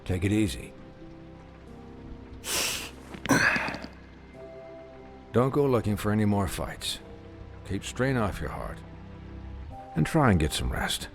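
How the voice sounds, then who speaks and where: A man voicing a matter-of-fact physician in a video game, measured, dry and clinical. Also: fairly low pitch, very animated, faint music behind. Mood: calm, advisory. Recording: faint score under the voice.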